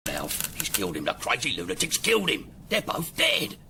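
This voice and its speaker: An actor playing a rough, jumpy henchman in a period detective audio drama. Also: medium pitch, wildly swooping pitch, moderate pace, very noisy. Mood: panicky.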